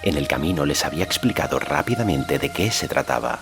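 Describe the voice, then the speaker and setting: A man narrating a fantasy audiobook in a measured storytelling manner. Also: rumbling low, expressive, vocal fry, over music. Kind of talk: scripted narration of a fantasy novel.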